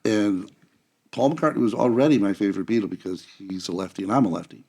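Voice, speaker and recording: A man's voice: on the low side, fast, vocal fry, darkish tone, breathy edge. A man in his sixties chatting casually as a podcast guest on a studio microphone. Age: 60s. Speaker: guest, church member. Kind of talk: casual chat, reminiscing. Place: small studio round a table. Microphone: close podcast microphone.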